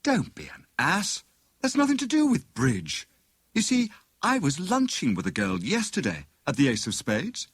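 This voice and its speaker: A man voicing a witty, sharp-tongued young wife with a withering, coaxing and brisk manner in an audiobook. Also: fairly high pitch, wildly swooping pitch, breathy edge, dry recording, clean studio sound, pitched above the performer's own voice, heavily acted. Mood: keen, brisk.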